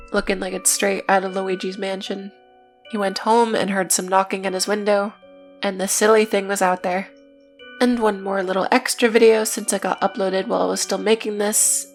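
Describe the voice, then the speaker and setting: A woman narrating a scripted video essay in a matter-of-fact way, with casual, dryly irreverent asides. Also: mid-range voice. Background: music.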